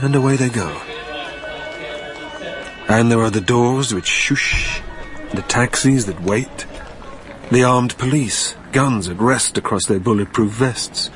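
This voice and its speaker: A man's voice: lowish voice, natural voice. A man playing a wistful, haunted dead man, narrating a radio drama in a literary, brooding way. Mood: wistful observing. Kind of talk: dramatic first-person scripted narration.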